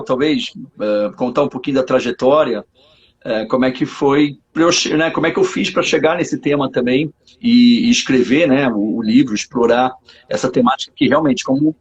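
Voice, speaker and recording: A man, hoarse, speaking as a psychologist guest in a casual live interview, hesitant and full of restarts. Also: mid-range voice, a little mellow, lo-fi sound. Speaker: psychologist and sex therapist guest.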